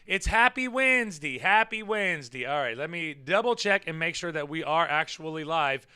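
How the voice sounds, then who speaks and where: A man chats his way through a livestream while his voice is hoarse and worn from yelling. His tone stays bright and smooth underneath, sitting a little high. He rambles, swears casually, and jumps into loud excited bursts. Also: moderate pace. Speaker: livestream show host. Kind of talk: casual livestream chat with viewers.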